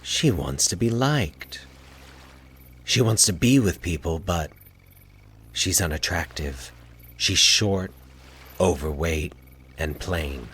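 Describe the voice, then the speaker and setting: A man playing a sinister solitary man with polite, measured speech and a coldly calculating, hushed manner in a horror story. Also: low voice, expressive, husky, heavily acted. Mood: cold, scornful.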